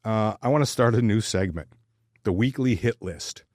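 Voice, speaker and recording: Man, sports podcast co-host. Lower-pitched, casual, blunt and wry, dry close sound with no room. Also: normal speed, a little mellow, no echo.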